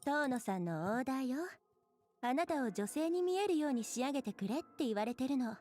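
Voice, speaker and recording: An actress playing a brisk woman dressing up a reluctant man in a Japanese visual novel, with music behind her. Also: sits high, lively intonation, a little mellow. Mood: brisk, coaxing.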